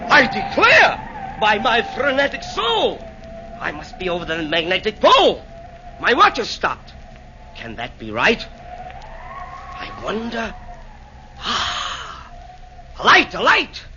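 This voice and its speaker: A man's voice: very high voice, huge pitch swings, slow, long pauses, over music, heavily acted. A blustering rhyming devil's henchman. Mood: theatrical. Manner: theatrical, blustering, declamatory verse. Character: boastful rhyming devil's henchman, would-be assassin. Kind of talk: 1940s radio acting. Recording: dull lo-fi 1940s radio recording.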